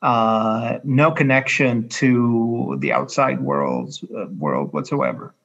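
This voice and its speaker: A man with an Egyptian Arabic accent answers as an interview guest. His voice is creaky and gravelly, and he speaks haltingly as he searches for words. The recording is a little dull and lo-fi. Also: medium pitch, expressive, fairly bright tone. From Cairo, Egypt. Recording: dull, muffled lo-fi audio.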